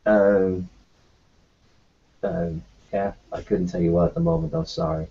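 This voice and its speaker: A man roleplaying his character in a tabletop game, talking in a halting way full of fillers and restarts. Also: sits low, big pitch swings, vocal fry, slightly rough. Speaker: player voicing his doctor character. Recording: dull lo-fi recording.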